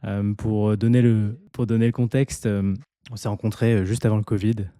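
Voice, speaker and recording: A man's voice: fairly low pitch, little pitch movement, normal speed, mellow, no room sound. A man hosting a podcast interview, talking with many hesitations and restarts. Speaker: podcast host. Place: home near the mountains.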